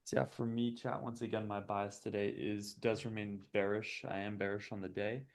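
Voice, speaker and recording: A man's voice: on the low side, little pitch movement, moderate pace. A man raised in the States, French his first tongue, hosting a live trading stream and calling out price levels and setups methodically while chatting with viewers. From United States. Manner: chats with viewers between chart reads. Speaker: day-trading stream host. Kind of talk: live market commentary.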